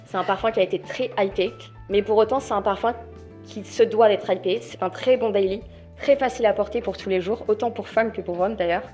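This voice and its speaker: A woman contestant pitching a product to judges off the cuff, with lots of fillers and a chatty, persuasive manner. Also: a little fast, darkish tone, soft background music. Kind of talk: improvised sales pitch.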